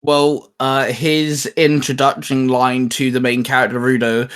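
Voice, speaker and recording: A man's voice: mid-range voice, little pitch movement, a little slow, fairly bright tone, clean tone. A man hosting a geek channel, chatting and making announcements in an informal, mildly sweary way. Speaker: host of a geek YouTube channel.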